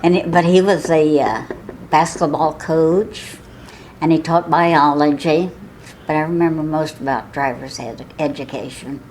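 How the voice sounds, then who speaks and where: A woman's voice: deep voice, steady tone, unhurried, darkish tone, a little hiss or hum, poor audio. Older woman with a Southern Illinois accent. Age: 80s. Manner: chatty, laughs often, with false starts. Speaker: oral history interviewee. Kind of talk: casual reminiscing.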